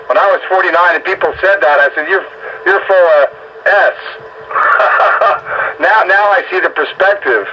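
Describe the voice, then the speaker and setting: A man's voice: high voice, measured pace, long pauses. A man in casual, teasing group banter, heard over a radio link. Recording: muffled, very noisy radio transmission.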